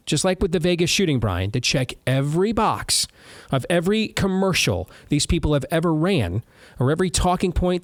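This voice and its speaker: A fairly high-voiced man hosts a talk radio show and builds his case in long, animated runs. The sound is dry and close, with no room around it. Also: dry recording. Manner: animated, argumentative monologues.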